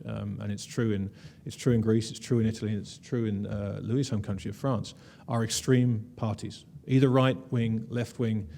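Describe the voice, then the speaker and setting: A man's voice: fairly low pitch, vocal fry, warm tone. A man presenting slides at a conference, wry and conversational, with frequent "uh" fillers. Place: conference room.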